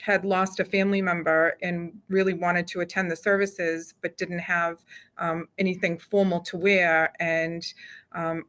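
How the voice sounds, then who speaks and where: A woman gives interview answers as a police chief. Her voice is on the low side and stays very even, and she pauses often with hesitant ums. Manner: hesitant, halting delivery with frequent ums.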